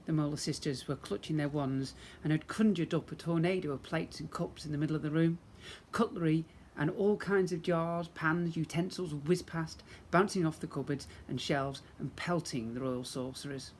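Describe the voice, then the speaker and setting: A man reading a children's storybook aloud as a teacher, in an expressive, dramatic storytelling manner. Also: a little high, moderate pace, slight background noise.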